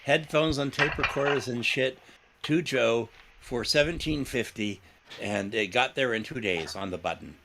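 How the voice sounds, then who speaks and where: A man chatting on a podcast roundtable, wandering through anecdotes with an easy, joking manner. He speaks at an ordinary middle pitch. A steady hiss of noise sits under his home-call audio. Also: moderate pace. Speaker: podcast co-host. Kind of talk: casual roundtable chat.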